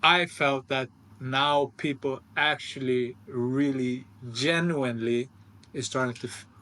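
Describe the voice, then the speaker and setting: A man's voice: medium pitch, fairly even intonation, slow, sharp tone. A man hosting a casual podcast conversation, speaking earnestly and repeating phrases for emphasis. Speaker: podcast host.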